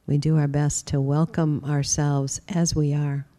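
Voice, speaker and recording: A woman teaching a guided meditation, speaking gently and unhurriedly with long pauses. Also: low voice, little pitch movement.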